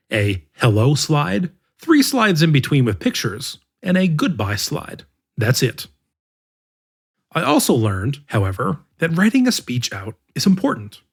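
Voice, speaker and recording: A man narrating an article in the first person as the regular reader of a podcast, with a measured, expressive delivery. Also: fairly high pitch, vocal fry, slightly rough. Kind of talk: scripted narration.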